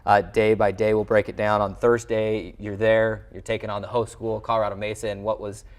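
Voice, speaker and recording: A man's voice: lowish voice, level tone, fairly quick. A man hosting a sports interview show in a television studio, with a wordy broadcaster's way of presenting.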